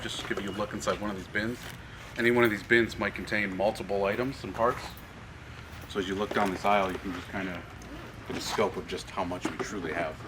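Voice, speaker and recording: A man in his thirties with a low, American voice leads a tour group between tall warehouse shelves. He explains things plainly and checks in with the group with questions. He is picked up by a handheld camera over steady background hum. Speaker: parts manager giving a tour. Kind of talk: guided tour talk to a group. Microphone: far camera held by a tour member.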